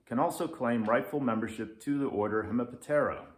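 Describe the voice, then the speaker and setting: A man's voice: mid-range voice, fairly quick, pitched above the performer's own voice, close to an everyday voice. A man voicing a long-winded, technical know-it-all father in a children's storybook. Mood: pedantic. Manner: technical, wordy. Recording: echoey room.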